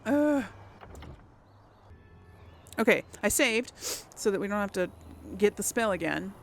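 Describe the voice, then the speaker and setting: Woman doing a lets play, slow n hesitant, lots of vocal fry, bit of noise behind her. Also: mid-range voice, lively intonation. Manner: hesitant, musing, trailing off. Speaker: let's player hosting a role-play playthrough. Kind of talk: casual gaming commentary, thinking aloud.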